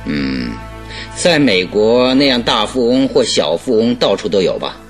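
An actor in a radio drama playing a genial, self-assured detective with a teasing, politely probing manner. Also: male voice, lowish voice, sing-song, a little slow, soft background music. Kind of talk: Chinese radio drama acting.

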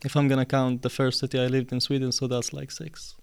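A man in his twenties from Syria, answering interview questions in a casual, hesitant way with many pauses and fillers. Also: mid-range voice, level tone, gravelly, clear, resonant tone. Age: 20s. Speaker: podcast guest.

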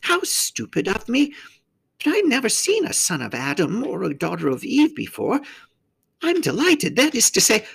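Storybook faun: very high, swooping pitch, courteous, kindly, stammering and effusive. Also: male voice, wildly swooping pitch, normal speed, creaky, fairly bright tone, breathy edge, heavily acted. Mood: flustered, delighted. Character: polite, flustered, kindly faun, high fluttery voice.

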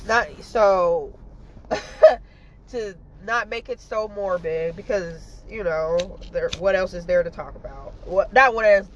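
A woman's voice: medium pitch, takes it slowly, lo-fi sound, a little hiss or hum. A woman with a stuffy nose giving a casual, blunt commentary monologue as a podcast host, rambling and full of fillers and swearing.

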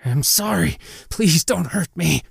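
A man acting a spiteful, worked-up cowardly villain, pitched up. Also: animated, slow, creaky, breathy edge, no echo, studio quality, exaggerated voice. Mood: frightened, pleading. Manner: snarling, sputtering with anger. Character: furious, spiteful, cowardly man, snarling horse owner.

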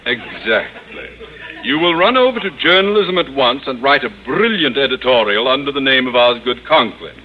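An actor playing a pompous, self-serving middle-aged school principal in 1950s radio comedy style. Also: male voice, mid-range voice, big pitch swings, moderate pace, natural voice. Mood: pompous, commanding. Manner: pompous, fawning to superiors, overbearing to subordinates. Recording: muffled, noisy old radio recording.

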